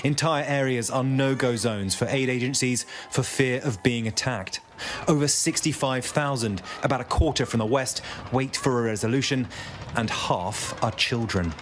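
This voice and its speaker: A man's voice: medium pitch, normal speed, slight background noise. A man narrating a scripted television news documentary as a reporter. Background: background music.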